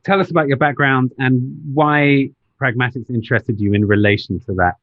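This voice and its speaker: A man hosts a podcast interview and keeps his guest going with short, encouraging replies. His pitch sits in the middle range. The audio is dull and lo-fi, like a remote call. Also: moderate pace. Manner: encouraging, frequent backchannels. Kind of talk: interview, casual talk.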